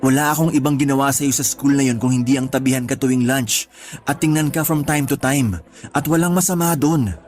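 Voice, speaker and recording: A man's voice: medium pitch, steady tone, fairly quick, a little mellow, soft background music, close to an everyday voice. A man playing a sincere male schoolteacher sweet on his colleague, earnest and conversational in a radio drama. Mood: confessing, tender. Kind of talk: Tagalog radio drama acting.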